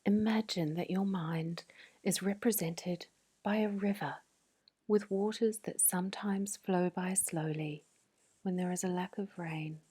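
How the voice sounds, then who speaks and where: Woman, guided sleep meditation, breathy whisper, slow, soothing, low-pitched. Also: mellow.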